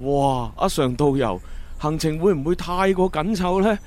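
A man's voice: fairly high pitch, lively intonation, moderate pace, a little mellow, close to an everyday voice. A man acting a caring young boyfriend in a Cantonese radio drama, earnest and playfully teasing.

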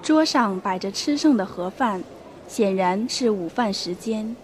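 A woman's voice: medium pitch, animated, unhurried, background noise. A woman reading scripted narration for a radio drama as its storyteller.

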